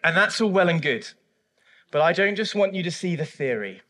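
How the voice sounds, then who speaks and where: A man preaching a sermon and interviewing a church member on stage, emphatic and swinging between hushed and loud. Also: high voice, expressive, normal speed. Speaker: pastor. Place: church service.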